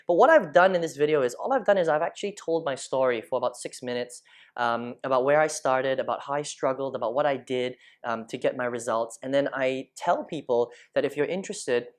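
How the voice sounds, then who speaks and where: Man from Malaysia teaching on a lapel mic. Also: medium pitch. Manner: chatty, keeps checking in with "okay?". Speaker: online marketing instructor. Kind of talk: casual screen-share tutorial.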